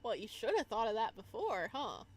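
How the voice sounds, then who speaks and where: A woman's voice: on the high side, very animated, normal speed. A woman playing through a visual novel, reading narration aloud and breaking in with casual, playful, teasing commentary. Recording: noticeable background noise.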